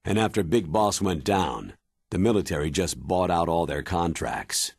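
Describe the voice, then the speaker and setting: An actor playing a stern military commander in a video game, giving a grave, authoritative briefing in a husky voice. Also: male voice, sits low, animated, moderate pace, no room sound, clean studio sound, exaggerated voice. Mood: serious briefing. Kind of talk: dramatic video game cutscene acting.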